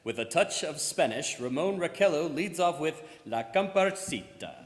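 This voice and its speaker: A man's voice: fairly high pitch, animated, normal speed, natural voice. A man playing a genial, formal announcer presenting an orchestra in a live 1930s-style radio play. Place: church, live performance. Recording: echoey hall, music behind. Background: music.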